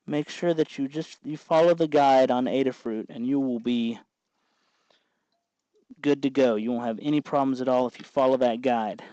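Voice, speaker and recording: A man hosting an informal how-to tutorial, explaining step by step in an unhurried way as he works with his hands. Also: medium pitch, warm tone, dry recording, dull audio. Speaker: host of a DIY electronics tutorial.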